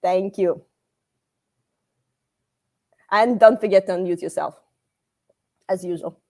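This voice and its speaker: A woman hosts a contest over a video call and introduces the next presenter. She speaks informally at an ordinary pitch, often with filler sounds. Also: takes it slowly, darkish tone. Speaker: event host.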